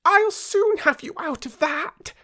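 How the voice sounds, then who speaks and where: A man's voice: very high-pitched, expressive, slow, sharp tone, no echo, clean studio sound, pitched above the performer's own voice, exaggerated voice. A man voicing a tiny, plucky male mouse in a storybook, chirpy and reassuring. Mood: cheerful, reassuring. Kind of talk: children's audiobook character voice.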